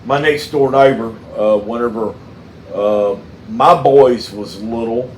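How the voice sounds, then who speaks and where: Man, Southern US accent, casual chat, folksy, halting, echoey room, faint noise. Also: medium pitch. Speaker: mechanic and channel host. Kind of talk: casual phone chat with storytelling.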